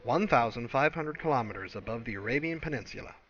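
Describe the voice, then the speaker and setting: A man reading scripted science fiction as an audiobook narrator, in a measured, deliberate way. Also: medium pitch, expressive, a bit bright, muffled recording, a little hiss or hum.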